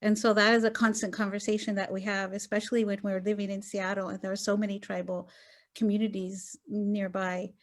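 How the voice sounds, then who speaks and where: An earnest woman talking through ideas on an academic video call panel. She speaks at an everyday middle pitch and keeps checking in with "right?" as she goes. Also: level tone, brisk. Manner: earnest, thinks aloud with many fillers and "right?" tags. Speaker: gender studies scholar on roundtable panel.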